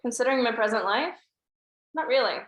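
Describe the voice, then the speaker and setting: A woman's voice: a little high, brisk, fairly bright tone, reverberant, lightly acted. A woman reading a wry, guarded young woman. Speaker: table read actor. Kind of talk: table read of a screenplay.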